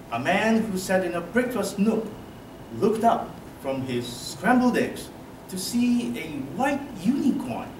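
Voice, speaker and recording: A young woman narrating a scripted story in a readers theatre performance. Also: mid-range voice. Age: young adult. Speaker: student narrator. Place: large indoor symposium room, recorded from afar. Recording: distant echoey hall, clear background noise.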